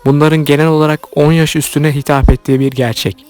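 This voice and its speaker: Male video host, slightly high voice, scripted commentary read, light music bed underneath. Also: little pitch movement, fairly quick, darkish tone. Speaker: YouTube host commenting on cartoons. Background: background music.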